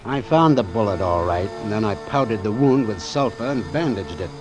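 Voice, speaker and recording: An actor playing a brisk, authoritative ship's doctor in an old-time radio drama, matter-of-fact and clipped. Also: male voice, lowish voice, animated, music in the background, lightly acted. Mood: measured, wry. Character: brisk, authoritative, dry-witted male ship's doctor, narrating.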